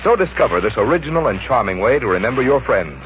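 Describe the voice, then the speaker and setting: A man's voice: medium pitch, sing-song, fast talker, noisy background. A man reading a polished scripted sponsor advertisement as a 1940s radio announcer. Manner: polished sales delivery. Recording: dull old radio recording, music behind.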